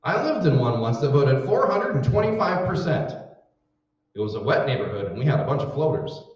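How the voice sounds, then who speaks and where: Wisecracking folksy husband played by a man, radio comedy, animated pitch, heard distant in a roomy space. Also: a little fast, close to an everyday voice. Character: folksy wisecracking husband working as poll clerk. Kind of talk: old-time radio comedy acting. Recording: echoey room, distant mic, dull sound.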